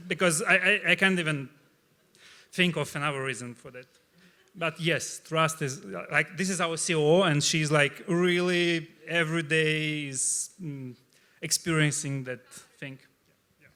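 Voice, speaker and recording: A startup founder with a Russian accent speaking on a live panel, halting and searching for words with many fillers. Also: male voice, on the high side, pushed and bright.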